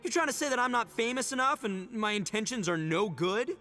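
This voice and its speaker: A cocky young male gang boss from a video game, with a high, very animated voice. He is hot-headed and blusters theatrically. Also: fairly quick, faint music behind. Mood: indignant.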